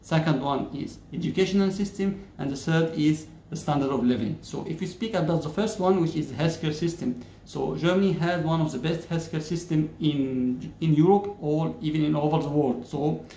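A man talks straight to the camera, slow and measured, with frequent "uh" pauses. His voice sits a little high for a man. The plain room around him rings with echo, and a faint hum sits underneath. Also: dark tone. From Egypt. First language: Arabic. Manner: measured, many "uh" pauses, gestures with hands. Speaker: YouTuber. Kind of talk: casual explainer talking to camera. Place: plain-walled indoor room.

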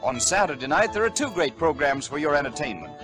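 A man's voice: fairly high pitch, animated, quick pace, music in the background. A man announcing in scripted 1940s radio commercial style, with a polished, emphatic sales delivery on an old broadcast recording.

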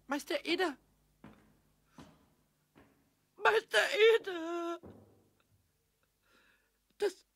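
A man voicing a small childlike kobold in a children's radio play, impish and emotional, with a squawky voice and halting repetitions. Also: very high-pitched, a bit bright, heavily acted. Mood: earnest. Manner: repeats words haltingly.

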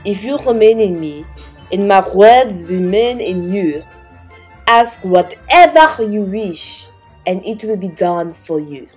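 A woman's voice: medium pitch, animated, slow, a little mellow, slightly rough, soft background music. A young woman teaching a kids' Bible lesson in a playful way, trying out silly accents. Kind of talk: playful scripted kids lesson.

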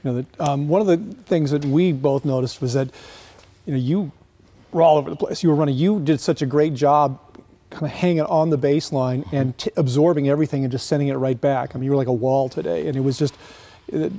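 A man's voice: on the high side, fairly even intonation, fairly quick, dark tone. A male interviewer asking conversational, complimentary questions after a tennis match.

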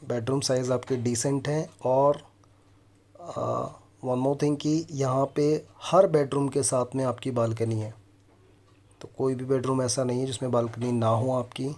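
An Indian man in his thirties hosts a property tour video. He explains features directly to the viewer in the manner of a consultant, with a medium-pitched voice. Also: steady tone, unhurried, a little mellow. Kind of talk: property walkthrough presentation mixing Hindi and English.

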